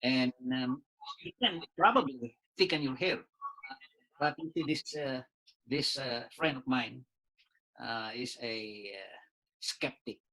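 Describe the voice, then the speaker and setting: An older man in his late sixties shares a personal testimonial. He talks in a halting way, stops often on "uh," starts phrases over and tags on "you see." His voice sits at an ordinary middle pitch for a man. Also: sharp tone, poor audio. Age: 60s. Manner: halting, many "uh" pauses and restarts, says "you see" often. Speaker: doctor and lawyer sharing a testimonial.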